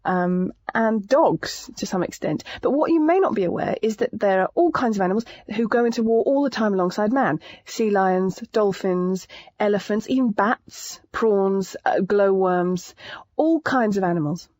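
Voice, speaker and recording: A woman playing an earnest, daft author interviewed on a spoof radio show, deadpan and hesitant. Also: medium pitch, moderate pace, close to an everyday voice. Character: earnest, daft female animal-war author. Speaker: studio guest.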